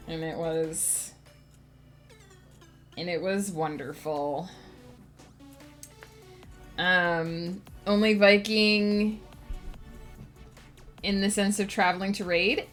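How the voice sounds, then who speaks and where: A woman's voice: medium pitch, a bit bright. A woman author chatting casually on a livestream, thinking aloud and rambling with lots of fillers, a little sniffly. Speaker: author streaming her writing process.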